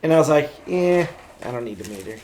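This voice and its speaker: Middle aged guy on a casual podcast, kinda high voice, blunt storyteller, bit crude. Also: animated, quick pace, warm tone. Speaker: podcast co-host. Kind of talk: casual pop-culture chat.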